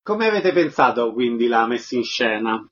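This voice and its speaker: A man's voice: mid-range voice, sing-song, moderate pace, slightly rough, highs cut off. A man hosting a radio arts programme, reading listings and putting questions to a guest. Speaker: radio host. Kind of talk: radio arts announcements and interview.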